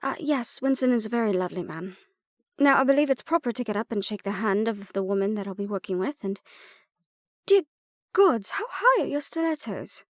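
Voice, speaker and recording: A woman's voice: a little high, animated, a little fast, no echo, clean studio sound, natural voice. A woman acting in an audio roleplay as an aristocratic archaeologist, sardonic, self-deprecating and prone to awkward rambling. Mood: flustered, awkward.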